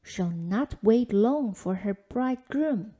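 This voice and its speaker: A bossy, scornful stepmother out of a children's folktale, put on by a woman reading aloud. Her voice is on the high side and rounded, yet the manner is cruel and commanding. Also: lively intonation, takes it slowly, mellow.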